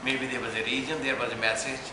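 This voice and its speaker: A man speaks at a press conference in a heavily echoing room, with steady noise in the background. His delivery is earnest and halting, and he keeps circling back to rephrase his points. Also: medium pitch. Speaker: event organizer.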